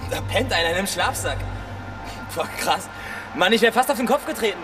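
A man's voice: high-pitched, lively intonation, a little fast, music in the background. An actor playing a cocky, mocking teenage boy in a radio play, slangy and goading. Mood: mocking, excited.

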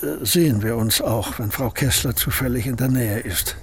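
An actor playing an aging male painter and poet in a radio play, speaking in a husky voice with a dry, reserved and curt manner. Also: medium pitch, normal speed. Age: older.

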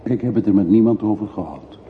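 An actor playing a reserved, reflective middle-aged emigrant returning home in a radio play, speaking in a measured, reticent way. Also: male voice, fairly low pitch, lightly acted. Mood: detached. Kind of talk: radio drama acting. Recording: muffled, noisy old radio play recording.

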